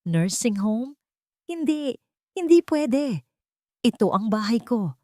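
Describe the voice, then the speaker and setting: Lady doing an old worn-out mom in an audio story, loving, anguished, voice lifts higher. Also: very animated, takes it slowly, mellow, no room sound, clean studio sound. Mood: alarmed, pleading. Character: weary, long-suffering elderly mother, loving but anguished.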